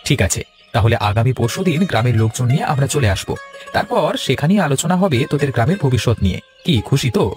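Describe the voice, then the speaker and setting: A man's voice: mid-range voice, expressive, quick pace, darkish tone, close to an everyday voice. A man playing a kindly, hearty village uncle in a cartoon, reassuring and avuncular. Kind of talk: cartoon voice acting. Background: instrumental music.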